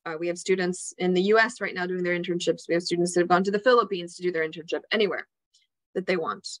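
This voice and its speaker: A woman's voice: fairly low pitch, fairly even intonation, fast talker, dry recording. A woman giving a casual, filler-filled school presentation on a video call. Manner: casual, filler-heavy explaining. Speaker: school representative. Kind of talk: webinar presentation.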